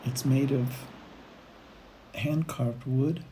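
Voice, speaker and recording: Slow, low-key woman guiding meditation. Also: fairly even intonation, dark tone, a little hiss or hum. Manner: slow, unhurried phrasing with long pauses. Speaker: meditation guide. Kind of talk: guided meditation, gentle imagery narration.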